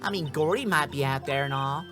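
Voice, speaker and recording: A man's voice: on the high side, a little fast, a bit bright, soft background music, natural voice. A man voicing a brash, flustered Southern woman witness in a courtroom game, with a folksy, indignant, stammering manner and a Southern US accent. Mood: sheepish.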